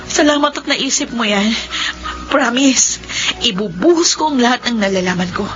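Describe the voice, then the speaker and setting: A woman's voice: a little high, lively intonation, normal speed, natural voice. A woman acting as a virtuous, earnest young wife in a Filipino radio drama, with an emotional, melodramatic delivery. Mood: earnest, relieved. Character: distressed young wife, virtuous, tearful, earnest office employee. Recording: muffled radio drama audio, music behind.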